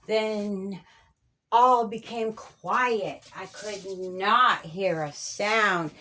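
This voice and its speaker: A woman's voice: medium pitch, extremely slow, pushed and bright, slight background noise. A woman reading her own children's story aloud in rhyming verse, with a sing-song cadence.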